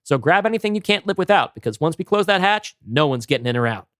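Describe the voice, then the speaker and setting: A man's voice: a little high, very animated, fast, fairly bright tone, dry recording, clean studio sound, pitched above the performer's own voice. A man reading the part of a self-assured, defensive prepper father in his early forties in a script read, blunt and emphatic. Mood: confident, brisk. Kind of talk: amateur script table read.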